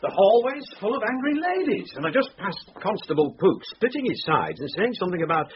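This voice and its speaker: An actor playing a bemused, incredulous police chief in a radio drama, full of questions. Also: male voice, sits high, big pitch swings, fairly quick. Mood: baffled. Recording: dull, noisy lo-fi radio broadcast.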